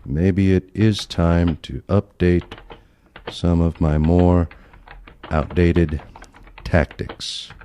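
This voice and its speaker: Man playing a deep, slow, crusty old grandfather. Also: steady tone, warm tone, a little hiss or hum. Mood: coldly scheming. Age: older. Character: sly, crusty elderly grandfather and secret assassin. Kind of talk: audio play acting.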